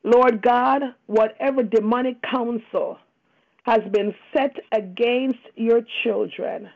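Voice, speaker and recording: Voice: medium pitch, fairly even intonation, slow pace, gravelly. A prayer leader praying fervently and off the cuff, pleading and emphatic, with repeated invocations and a tearful edge. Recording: dull lo-fi radio-like phone line.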